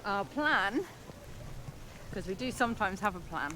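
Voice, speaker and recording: A woman's voice: medium pitch, lively intonation, a little slow. A woman co-hosting a travel vlog, chatting casually to viewers. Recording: clear outdoor background noise.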